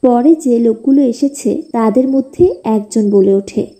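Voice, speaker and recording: A female narrator tells a scripted moral story for a cartoon. Her voice is fairly high yet extremely mellow and rounded in tone. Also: unhurried.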